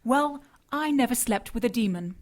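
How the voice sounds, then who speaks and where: Spunky, high-voiced female sidekick acted by a woman. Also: animated, normal speed, lightly acted. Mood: indignant. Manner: spunky, questioning, indignant. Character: earnest, spirited female sidekick, easily flustered and indignant. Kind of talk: audio drama acting.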